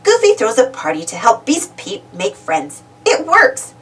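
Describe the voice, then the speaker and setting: A woman reading a children's storybook aloud as an animated narrator. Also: a little high, unhurried. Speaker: storybook reader. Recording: distant mic, music behind.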